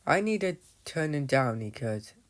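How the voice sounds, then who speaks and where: A man's voice: medium pitch, very animated, normal speed, slight background noise. A man giving casual, rambling commentary on his game, often losing his thread and calling out to viewers as "guys". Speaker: gamer narrating his play.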